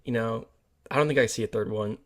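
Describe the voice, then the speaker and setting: A man's voice: lowish voice, brisk. A man co-hosting a podcast, talking casually in a dry, skeptical and pessimistic way. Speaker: podcast co-host. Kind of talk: casual movie discussion.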